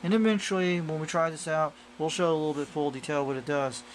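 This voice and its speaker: A man with a slightly raised pitch talks casually, as if to viewers of a homemade demo. He wanders through his explanation and repeats himself in loose wording. The amateur camera audio carries some noise behind him. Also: fairly even intonation, quick pace, poor audio. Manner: repetitive, loosely worded explaining. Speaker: hobbyist radio reviewer. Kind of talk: casual rambling demonstration to camera. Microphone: handheld camera microphone.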